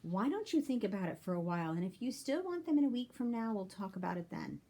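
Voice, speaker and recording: Woman playing a mellow, firm storybook mother. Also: mid-range voice, sing-song, fast. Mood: calm, firm. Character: practical, firm but calm mother.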